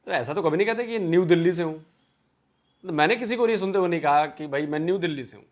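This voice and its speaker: A man with a slightly high voice hosts a reaction video and comments with wry skepticism. He talks casually, as if to regular viewers. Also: fast. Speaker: reaction channel host.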